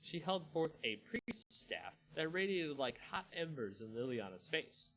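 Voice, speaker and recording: A man hosting a dramatic story reading, expressive in delivery and now and then stumbling and correcting himself. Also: fairly high pitch, unhurried, poor audio. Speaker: host reading a fantasy story aloud.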